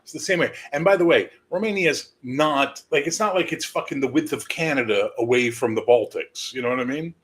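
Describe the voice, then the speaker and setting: A man's voice: medium pitch, a little fast, hardly any pauses, fairly bright tone. A man hosting a livestream, rambling casually with lots of swearing and jokes. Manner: rambling, profane, jokey. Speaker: stream host. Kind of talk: casual chat.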